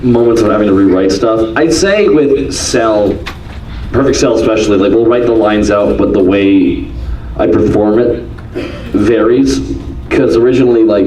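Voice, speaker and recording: A man talking casually and jokingly on a convention panel, recorded from the audience in a large hall. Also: fairly low pitch. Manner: joking, animated. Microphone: table mic, recorded from the audience. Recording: echoey hall from far off, audience noise.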